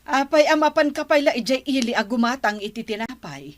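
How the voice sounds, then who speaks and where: An actress playing a nagging, suspicious and stubborn wife in an Ilocano-accented radio comedy drama. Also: female voice, sits high, animated, brisk.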